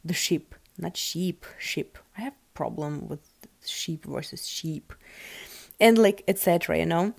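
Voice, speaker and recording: A woman's voice: on the low side, unhurried, creaky, darkish tone, husky, no room sound. A woman, her language, hosting a casual solo podcast in a chatty, rambling way full of fillers. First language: Russian. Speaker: podcast host.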